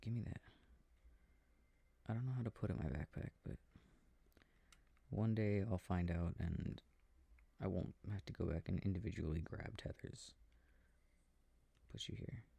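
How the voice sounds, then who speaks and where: A man playing an affectionate boyfriend in an intimate ASMR sleep-aid roleplay, murmuring and rambling softly with a breathy voice, close to the microphone. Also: deep voice, normal speed, long pauses, dry recording, heavily acted. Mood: absorbed, mildly puzzled. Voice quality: soft, husky, breathy. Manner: murmured, rambling, gently bemused.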